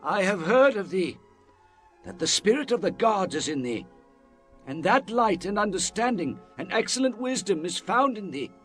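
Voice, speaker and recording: A man's voice: high-pitched, big pitch swings, moderate pace, soft background music. An actor playing a proud Babylonian king in a dramatized Bible, speaking in formal, declamatory style. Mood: imperious. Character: proud Babylonian king addressing a captive seer.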